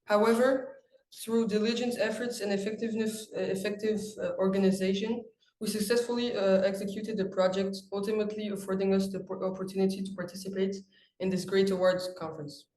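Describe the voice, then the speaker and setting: A teenage boy from France reading a prepared student presentation, halting and restarting on longer words. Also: high-pitched, little pitch movement, darkish tone. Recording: echoey room with faint noise.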